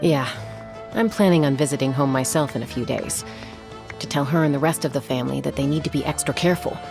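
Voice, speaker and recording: A woman's voice: a bass voice, fast talker, gravelly. A woman voicing a serious, protective adult warrior in a video game, speaking in a warning tone. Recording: game audio with music behind. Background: music.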